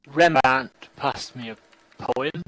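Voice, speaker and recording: A man performing a poetry reading in deliberate short phrases with long pauses. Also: mid-range voice, a bit bright, no room sound, rough recording.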